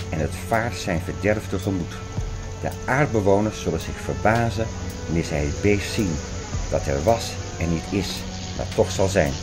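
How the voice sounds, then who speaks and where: An actor playing a fervent man expounding apocalyptic theories in a radio play, insistent, didactic and declamatory. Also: low voice. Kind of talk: radio play dialogue, insistent lecturing and reciting.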